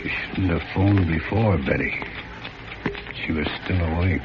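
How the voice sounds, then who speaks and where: A scheming, smooth-talking husband in an old radio thriller. His voice sits low, colloquial and falsely tender. The recording is muffled, with plain background noise. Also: unhurried. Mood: hushed, furtive. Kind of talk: 1940s radio acting.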